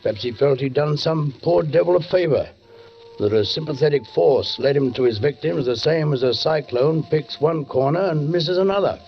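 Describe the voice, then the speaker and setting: An actor playing a brooding middle-aged London police sergeant who narrates a murder tale in a measured, formal, ominous 1940s radio style. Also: male voice, a little high, creaky, a little hiss or hum. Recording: dull 1940s radio recording, faint music.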